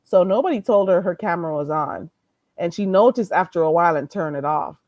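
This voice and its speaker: A woman's voice: on the low side, expressive, fairly quick, darkish tone, no room sound. A woman hosting a live stream, trading blunt, profane gossip and greeting her viewers, a little stuffy-nosed. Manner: greets and thanks viewers mid-talk. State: stuffy nose. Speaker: livestream host.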